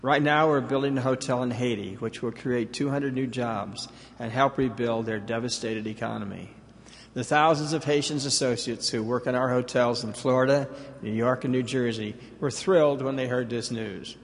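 A man's voice: mid-range voice, fairly even intonation, normal speed. An older man giving a formal, earnest prepared speech as a company executive in a large hall. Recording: reverberant hall.

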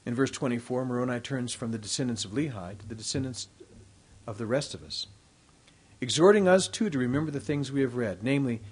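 A man delivering a formal, prepared devotional address at a university, reading from his text in a measured way. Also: mid-range voice, expressive, husky.

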